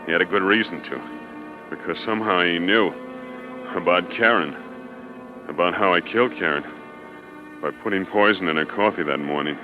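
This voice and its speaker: A man's voice: deep voice, over music, natural voice. An actor playing a frightened, guilty man who stammers as he unravels, in 1940s radio suspense style. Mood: drained, confessing. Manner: halting, stammering. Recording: dull, band-limited vintage radio recording.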